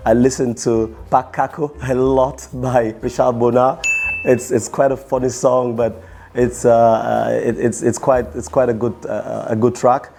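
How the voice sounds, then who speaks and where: A young man, a musician answering quick-fire interview questions in a tired, strained voice, halting and repeating his words. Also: medium pitch, flat delivery, vocal fry, dark tone, slight background noise. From Cameroon. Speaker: musician guest.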